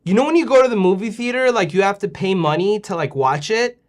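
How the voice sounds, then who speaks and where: A man hosting a children's show, joking and reacting with exaggerated excitement. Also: high-pitched, fast. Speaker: host of a kids' show. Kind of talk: playful, animated hosting.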